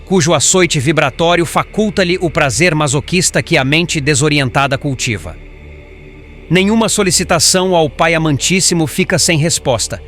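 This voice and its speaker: A man's voice: on the high side, close to an everyday voice. A man playing a wise, compassionate spirit instructor in audiobook dialogue, formal and assured as he teaches. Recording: soft music bed.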